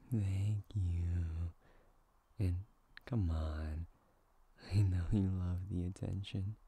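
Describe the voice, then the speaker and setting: A man playing a tender, playful young boyfriend in an intimate ASMR roleplay, speaking in a soft, breathy, hushed voice that often slips into whispers. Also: deep voice, heavily acted. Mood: playful, cooing.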